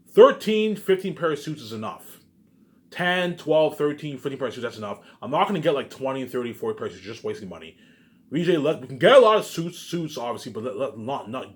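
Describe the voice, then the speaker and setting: Man rambling casually in repetitive, emphatic bursts. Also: mid-range voice. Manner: repetitive, restarts phrases, frequent filler catchphrases.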